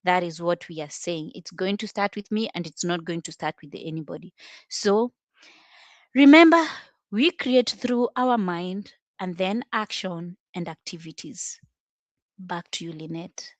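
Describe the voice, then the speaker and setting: A woman with a fairly low voice gives a motivational talk to a group. She is emphatic and exhorting, and the recording sounds dry, with little room sound. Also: a little slow, no echo. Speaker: speaker in a group online meeting.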